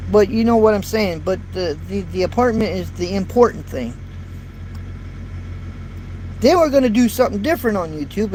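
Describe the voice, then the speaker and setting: Trucker guy in his 50s, kinda high light voice, rambles and repeats himself, talking into his phone from the truck, bit of road noise. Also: rough recording. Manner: rambling, folksy, repeats words. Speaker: truck driver vlogger. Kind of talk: casual live chat to viewers. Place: inside a parked truck cab. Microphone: built-in phone or camera mic. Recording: faint road and traffic noise.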